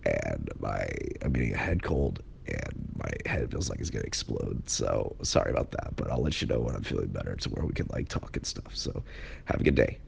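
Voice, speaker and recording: Hoarse, sick young man; lively but breaking pitch, apologetic casual vlog update. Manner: apologetic, halting. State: sick, losing his voice.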